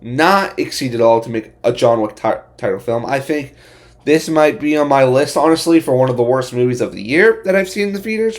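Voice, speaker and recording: A man hosts a casual movie review in a mid-pitched voice. He is blunt and opinionated. His delivery is unscripted and halting, with repeated words and phrases begun again. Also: darkish tone. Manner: halting and repetitive, often restarting phrases. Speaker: host of a movie review channel.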